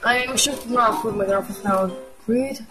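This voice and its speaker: A woman giving a playful, giggly, halting read-aloud dub of a comic, acting out the characters. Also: on the high side. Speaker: amateur comic dubber. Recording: homemade recording with loud music.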